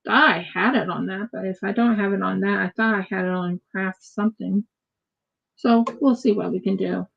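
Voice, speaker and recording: A woman's voice: mid-range voice, steady tone, moderate pace, vocal fry, lo-fi sound. An American woman chatting casually and rambling as she crafts on a livestream, often repeating her words. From United States. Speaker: craft streamer.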